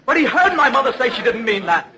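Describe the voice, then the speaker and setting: An outraged, frantic son in an old radio play. His voice is strained very high and cuts sharply as he protests with bitter, vehement indignation. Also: sing-song, quick pace, sharp tone, slight background noise, heavily acted, low-quality recording. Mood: frenzied indignation. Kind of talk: 1940s radio acting.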